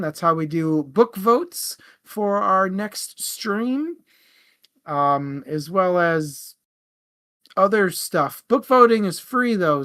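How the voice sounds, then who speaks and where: A man's voice: high voice, bright, forceful tone, clear, resonant tone. A man co-hosting a book discussion show, chatting casually in an informal, jokey and sometimes profane way. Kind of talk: casual book chat with plugs.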